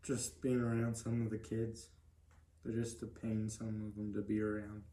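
Young guy, kinda low n monotone, plain spoken interview guest, roomy echo. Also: moderate pace. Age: young adult. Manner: plain-spoken, brief answers. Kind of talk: casual interview answers.

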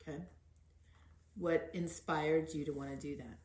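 A woman hosting an informal podcast interview, gently prompting and encouraging. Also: sits low, steady tone, measured pace. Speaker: podcast host. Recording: roomy echo.

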